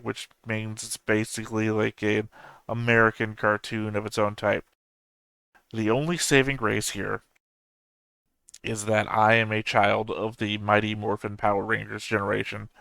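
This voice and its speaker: A man in his thirties with a low, gravelly yet smooth voice talks loosely and off the cuff, correcting himself as he goes. His delivery stays fairly flat, with dry humor running through it. The sound is close and dry, with no room around it. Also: dry recording, rough recording. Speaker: anime reaction channel host. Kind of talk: casual rambling commentary.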